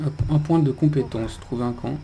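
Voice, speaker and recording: A man's voice: mid-range voice, a little fast, gravelly, mellow. A man giving casual video game commentary, thinking aloud in a hesitant way. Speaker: video game let's player. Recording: faint background noise.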